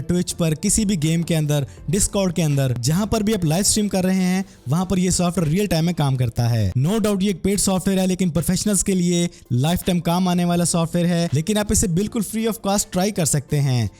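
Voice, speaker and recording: A man narrating a scripted software tutorial as its presenter. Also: high voice.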